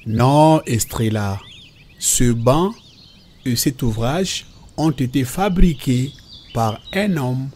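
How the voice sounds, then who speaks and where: Husky man playing a patient cartoon father. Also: mid-range voice, slow pace, darkish tone. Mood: patient, instructive. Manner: didactic, explains definitions step by step. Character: patient, instructive father explaining nature and God to children.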